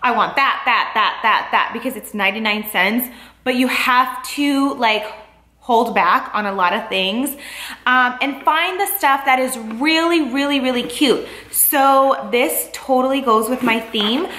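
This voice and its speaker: A woman's voice: fairly high pitch, unhurried, pushed and bright, reverberant. A young woman chatting casually in a vlog, bubbly and rambling, with lots of "like" and "um". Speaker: lifestyle vlogger. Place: store aisles, then a home living room.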